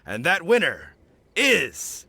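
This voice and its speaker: Theatrical, bright-voiced competition judge. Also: male voice, a little high, huge pitch swings, takes it slowly, vocal fry, a little hiss or hum, full character voice. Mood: grand, admiring. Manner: theatrical, formal, effusive. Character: grandiose, easily astonished male food competition judge. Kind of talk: scripted game dialogue.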